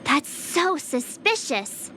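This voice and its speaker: A woman voicing a perky, playful young girl adventurer in a video game, expressive and bubbly. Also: sits high, slow, slight background noise, heavily acted. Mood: upbeat, curious. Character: perky, upbeat young girl adventurer, teasing sidekick banter. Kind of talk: English video game voice acting.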